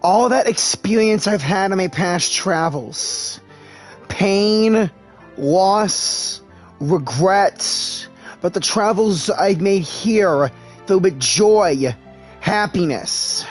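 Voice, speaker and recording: A man streaming a game live and speaking replies to its characters in a halting, deliberate, earnest way. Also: high-pitched, expressive. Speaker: gaming streamer and amateur voice-over artist. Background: game music.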